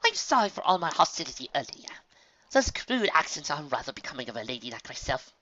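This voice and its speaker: A woman's voice: low-pitched, sing-song, brisk, bright, forceful tone, no echo, pitched above the performer's own voice, exaggerated voice. A woman voicing a refined, theatrical grown unicorn mare who runs a dress shop, speaking in an elegant, fussy manner. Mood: earnest. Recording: dull lo-fi, dry recording.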